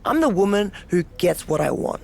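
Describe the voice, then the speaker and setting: A woman's voice: fairly low pitch, big pitch swings, moderate pace, gravelly, darkish tone, full character voice. A woman playing a cocky, dominant, sardonic wrestler who relishes chaos in a video game cutscene. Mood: defiant, assured. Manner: sardonic, self-assured. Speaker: pro wrestler character.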